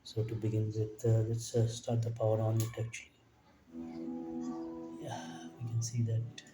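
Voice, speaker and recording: A man giving an informal technical demo in a halting way, often tacking "actually" onto his phrases. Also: fairly low pitch, completely flat delivery. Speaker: electronics hobbyist presenter. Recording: echoey room, distant mic, faint music.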